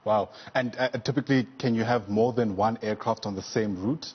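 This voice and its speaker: A man's voice: fairly low pitch, normal speed, husky, lo-fi sound. A man working as a news interviewer, putting wordy, inquisitive questions in a conversational way. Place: TV news studio.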